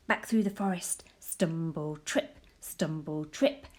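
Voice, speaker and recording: Woman teacher reading a picture book to kids: very slow, lowish voice, playful, whispers sound words. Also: darkish tone. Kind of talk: expressive read-aloud storytelling for children.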